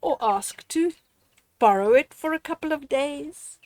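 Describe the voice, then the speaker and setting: Female storyteller for kids' read-aloud, older, higher-pitched, unhurried, expressive and gently instructive. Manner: expressive, with deliberate pauses and dramatic gasps; gently instructive, addressing a child listener. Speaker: storyteller reading children's books aloud.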